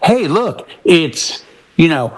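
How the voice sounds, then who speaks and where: A man's voice: fairly high pitch, sing-song, takes it slowly. A man in a casual, opinionated panel discussion, speaking emphatically and insistently and acting out quotes as he tells stories. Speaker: podcast panelist.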